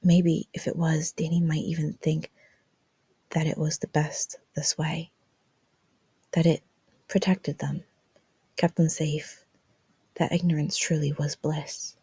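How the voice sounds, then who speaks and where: A woman's voice: deep voice, little pitch movement, slow, long pauses, dark tone, slightly rough, dry recording. A woman reading fan fiction aloud as scripted, dramatic story narration.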